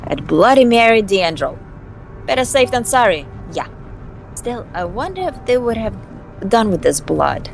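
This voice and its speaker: A woman's voice: fairly high pitch, very animated, moderate pace, vocal fry, soft background music, rough recording. A woman voicing a flippant, scheming young vampire socialite in an amateur visual novel dub, with a snarky, theatrical delivery. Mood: wry, dismissive.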